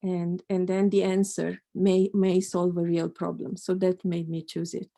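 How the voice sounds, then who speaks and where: A woman's voice: lowish voice, little pitch movement, a little slow, gravelly, dark tone. A middle-aged woman from Romania, a mathematics professor, answering questions in a thoughtful, hesitant way with many restarts. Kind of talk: panel talk answering questions.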